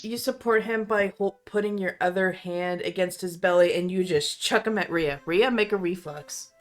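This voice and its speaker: A woman's voice: lowish voice, moderate pace. A woman acting as game master, narrating a tabletop roleplaying game. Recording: music under the voice. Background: instrumental music.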